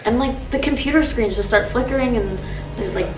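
A woman's voice: on the high side, a little fast, close to an everyday voice. A young woman playing an unsettled witness of a haunting in a casual mockumentary interview. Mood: uneasy. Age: young adult. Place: dim indoor room. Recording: echoey, distant mic, music behind.

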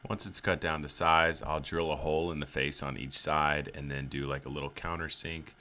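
Man, 30s, very low bright voice, plain step-by-step build narration, faint hiss. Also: steady tone, normal speed. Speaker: woodworking channel host.

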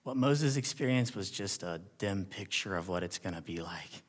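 A man's voice: sits low, lively intonation, normal speed. Man preaching in a reverberant hall. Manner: dramatic, swinging from hushed near-whispers to loud emphatic declarations; rhetorical questions and check-ins with listeners. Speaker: pastor preaching. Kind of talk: sermon.

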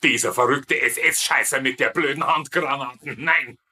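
A man's voice: mid-range voice, unhurried, bright, forceful tone, breathy edge, full character voice. A man playing a nervous, bumbling, good-natured German camp guard in a sitcom, protesting fussily. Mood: fussy, alarmed. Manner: fussy, repetitive protesting. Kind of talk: dubbed sitcom comedy.

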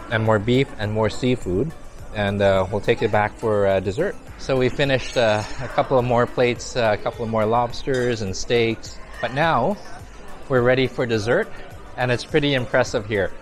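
A middle-aged man with a medium-pitched voice talks casually to camera like a food vlogger. He exclaims appreciatively over what he is eating, with music clearly playing behind him. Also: normal speed. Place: large busy buffet restaurant, indoors. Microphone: clip-on lapel mic. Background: background music.

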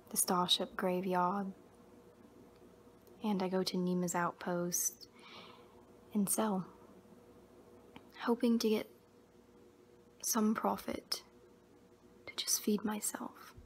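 A woman in her twenties playing a gentle young scavenger turned Jedi in a whispered ASMR roleplay, soft, breathy and soothing, close to the mic. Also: mid-range voice. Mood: soft, wistful. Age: 20s. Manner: slow, hushed, soothing, with long pauses. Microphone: close to the mouth. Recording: noisy close-up homemade recording.

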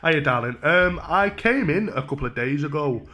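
A man in his twenties with a Northern English accent, talking casually and mock-earnestly as a deadpan, hesitant prank caller at a desk microphone. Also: a little high, moderate pace. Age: 20s. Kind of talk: casual prank phone call. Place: small room at home. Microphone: desk microphone on a stand.

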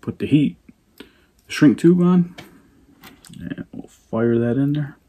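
A man in his thirties with a husky voice, calmly talking himself through a hands-on install at a table indoors, often half under his breath. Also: medium pitch, slow. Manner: relaxed, muttering step by step, often half-whispered. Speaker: fishing channel host. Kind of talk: casual how-to narration while working.

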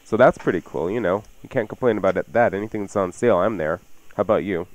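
A man's voice: lowish voice, fast, no echo, highs cut off. A man hosting a radio cooking show in a casual, chatty way, jokey and self-deprecating.

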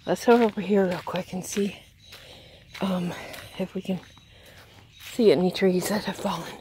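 A woman's voice: on the low side, steady tone, measured pace, dark tone. A woman vlogger chatting casually and musing aloud in a rambling way, recorded outdoors on a handheld camera. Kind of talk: casual walking vlog chat. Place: outdoors on a wooded gravel driveway and yard. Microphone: handheld phone or camera. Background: clear outdoor ambient noise.

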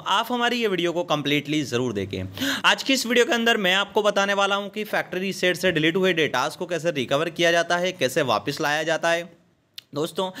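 A man's voice: high-pitched, pushed and bright. A young man hosting a tech channel, talking viewers step by step through phone settings in an earnest, repetitive way, recorded on a lapel mic in a small studio. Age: young adult. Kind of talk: phone tutorial with screen-recorded voice-over. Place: small dark indoor studio.

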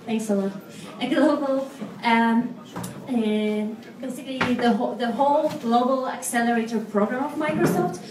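A woman hosts a meetup, introducing panel speakers in an upbeat way with filler pauses. Her voice is quite high. It echoes around a hall from a distance, with clear background noise. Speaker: event host introducing a panel. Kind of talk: stage announcements. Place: event hall.

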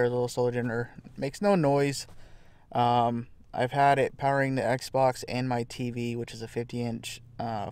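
A young man with a North American accent talks to his camera in an easygoing, colloquial way. His voice sits at a medium pitch, with the casual delivery of an outdoor vlogger recording inside a truck. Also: darkish tone, clean tone, dry recording. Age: young adult. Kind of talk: casual vlog chat to camera. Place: inside a parked truck at night.

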